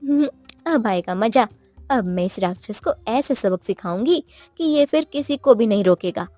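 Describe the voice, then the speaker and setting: Woman voicing a high-pitched, clever cartoon wife. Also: animated, moderate pace, dark tone, no echo. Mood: sly. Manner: taunting, coaxing. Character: clever, scheming, bold young wife. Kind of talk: cartoon moral story acting. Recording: music behind the voice.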